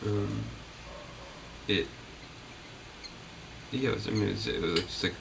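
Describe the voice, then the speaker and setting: A man being interviewed as a puppeteer, chatting casually in a halting, rambling way that often trails off. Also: fairly low pitch, creaky. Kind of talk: casual unscripted interview chat. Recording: noisy, distant mic.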